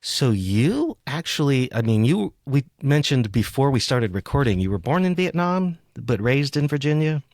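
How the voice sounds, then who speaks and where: A man's voice: mid-range voice, sing-song, moderate pace, few pauses, a little mellow, no room sound, studio quality. A man hosting a podcast, talking in a casual, conversational interview style. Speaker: podcast host. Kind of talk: casual conversational interview, some poetry reading.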